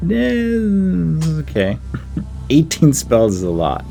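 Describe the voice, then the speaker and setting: A man's voice: fairly high pitch, wildly swooping pitch, very slow, gravelly, dark tone, soft background music. A man giving casual game commentary on his own playthrough, thinking aloud and easily amused.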